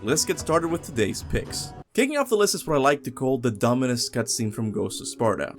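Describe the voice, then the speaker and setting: A man's voice: medium pitch, very animated, fairly quick, soft background music. A man hosting a video essay, narrating a countdown in a casual, opinionated and emphatic way. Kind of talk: countdown commentary, scripted but casual narration.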